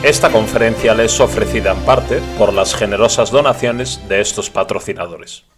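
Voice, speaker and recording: A man's voice: fairly low pitch, moderate pace, music in the background. A man narrating the translation of a lecture as a voice-over.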